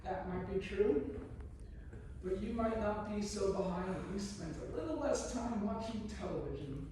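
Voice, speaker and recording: A woman's voice: medium pitch, normal speed, warm tone, close to an everyday voice. A woman playing a stern, exasperated literature teacher, speaking in a firm, didactic, curt way in a stage play. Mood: dry. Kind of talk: one-act stage play acting. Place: live stage performance, recorded from afar. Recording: very echoey room, distant mic, faint noise.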